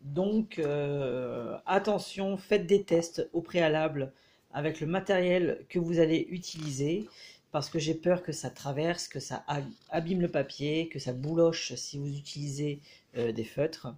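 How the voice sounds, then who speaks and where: A man with a head cold giving a casual, hesitant review of a book as he leafs through it, pausing often. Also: fairly high pitch, a little mellow. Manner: hesitant, frequent filler pauses.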